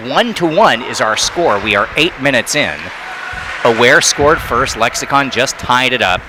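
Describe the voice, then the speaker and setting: Brief: male play-by-play hockey announcer, quick, noisy arena broadcast. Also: mid-range voice, crowd noise. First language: not Thai. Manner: rapid, excitable calls on big plays. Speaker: play-by-play commentator.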